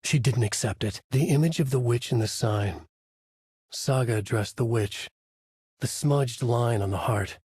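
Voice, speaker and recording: An actor playing a brooding, haunted male writer, narrating a noir horror thriller in a measured, grave, dramatic way with a husky voice. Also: medium pitch, a little mellow, no echo. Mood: brooding narration.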